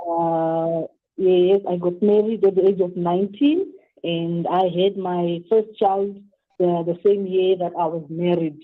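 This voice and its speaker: A woman's voice: lowish voice, measured pace. A woman in her thirties from Zimbabwe, telling her own story as a phone-in guest, hesitant and often restarting. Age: 30s. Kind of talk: phone-in interview, personal story. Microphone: telephone. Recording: tinny phone line.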